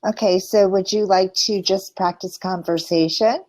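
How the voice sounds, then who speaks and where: A woman's voice: mid-range voice, steady tone, moderate pace, a little mellow. A woman from the United States working as an English conversation tutor, speaking in simple short phrases and patiently repeating and explaining. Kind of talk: one-to-one conversation lesson.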